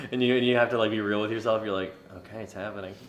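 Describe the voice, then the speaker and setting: A man's voice: on the low side, fairly even intonation, quick pace, a little hiss or hum. A young man co-hosting a crude, casual podcast chat. He is hungover, rambles and cracks jokes with frequent laughs. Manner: rambling, joking, laughs often. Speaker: podcast co-host. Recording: roomy echo.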